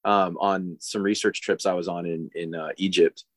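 Brief: forties man, low voice, dry close sound, easygoing rambling interview guest. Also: flat delivery, no room sound. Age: 40s. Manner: rambling, halting, many fillers and restarts. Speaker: podcast guest, diver living on a boat. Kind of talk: casual interview chat.

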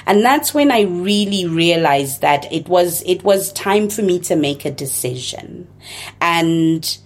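Low gravelly woman from Zimbabwe, thoughtful. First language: Shona. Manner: thoughtful, measured, with self-corrections. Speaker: guest, social entrepreneur. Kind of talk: reflective interview answers.

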